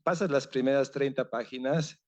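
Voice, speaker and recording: A man's voice: mid-range voice, fairly even intonation, normal speed, a little mellow, dry recording. A novelist on a seminar panel, a man thinking aloud with many fillers and restarts. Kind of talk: panel discussion.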